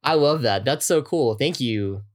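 A man's voice: medium pitch, expressive, measured pace. A man co-hosting a podcast in casual, informal chat, often repeating short phrases as he reacts. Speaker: podcast co-host.

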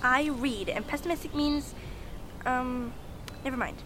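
A woman's voice: high-pitched, a little slow, noisy background. A girl playing a bossy eleven-year-old girl. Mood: exasperated. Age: young. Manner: bossy, dramatic, exasperated. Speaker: child actor and writer. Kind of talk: amateur family audio drama.

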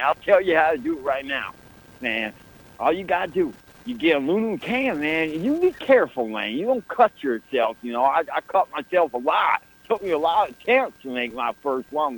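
A man's voice: on the high side, fairly bright tone, low-quality recording. A man playing a brash, boastful small-town man who calls himself a football good-luck charm and keeps throwing in "man" and "baby". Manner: swaggering, boastful, peppers talk with "man" and "baby". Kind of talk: comic character monologue.

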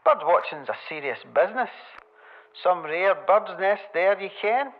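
Actor as high-voiced, laconic Scottish country policeman. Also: expressive, moderate pace. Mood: dry, dismissive. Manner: laconic, unbothered, dry. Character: unhurried, dismissive rural Scottish police officer. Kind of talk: radio play phone-call acting. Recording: dull lo-fi telephone sound.

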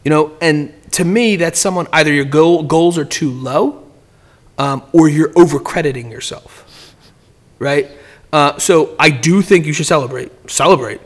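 A man's voice: on the high side, unhurried. A man in casual podcast chat, giving business advice in a rambling way, often repeating words and restarting sentences.

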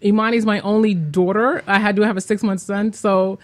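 A woman's voice: medium pitch, steady tone, fairly quick. A woman who is a homeschooling parent speaks as an interview guest, explaining things informally with examples and lists. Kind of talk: casual conversational explanation.

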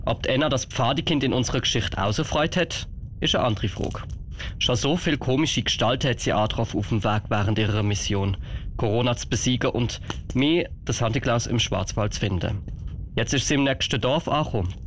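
Mid-pitched man telling stories. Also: a little fast, slight background noise. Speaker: guest storyteller. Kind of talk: radio storytelling for young listeners.

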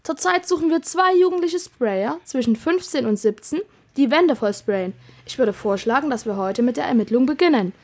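A fairly high female voice of an amateur actor plays a police investigator in a homemade audio drama. She sounds sensible and orderly, keeping to business in a matter-of-fact way. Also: animated, normal speed, darkish tone. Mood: brisk.

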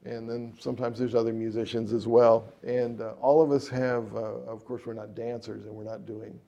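Gravelly, low-key male jazz musician. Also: flat delivery, moderate pace, mellow. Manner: rambling, many fillers and restarts. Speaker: jazz trumpet player and composer. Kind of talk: relaxed interview answers.

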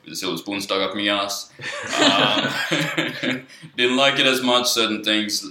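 A man's voice: fairly low pitch, a little slow, very noisy. A young man from Sri Lanka, a guest chatting casually and loosely, with many fillers and frequent swearing. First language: Sinhala. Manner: rambling, lots of fillers and swearing. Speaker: guest artist. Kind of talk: casual podcast chat. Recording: echoey room.

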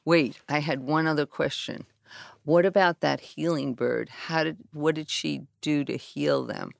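A woman talks slowly in a low voice and muses her way through her ideas. She often trails off mid-thought. The recording is close and dry, with no room sound. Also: fairly even intonation, vocal fry, no room sound. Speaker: podcast guest. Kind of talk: casual conversation.

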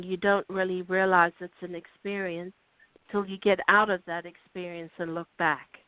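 An older South African woman, lowish in pitch, telling her life story as an interview guest. She talks unhurriedly, with ums and restarts. Her voice comes over a tinny, dry phone line. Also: no echo. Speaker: guest author.

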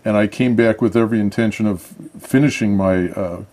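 Older male guest from Michigan, low and mellow, measured and reflective. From Michigan, USA. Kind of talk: casual interview chat.

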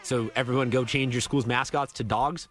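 A man's voice: mid-range voice, fairly even intonation, moderate pace, fairly bright tone, faint music behind. A man hosting a casual sports radio show, joking and rambling with lots of fillers. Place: radio studio. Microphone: studio microphone.

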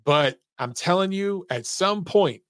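Male call-in show host, slightly high voice, lively and profane, close dry mic. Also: measured pace, smooth, no room sound. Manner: animated, swearing, laughs along with callers. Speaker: boxing talk show host. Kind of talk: casual live call-in chat.

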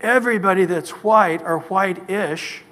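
Male pastor, high voice, emphatic sermon delivery with rhetorical questions, long church reverb. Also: measured pace, sharp tone.

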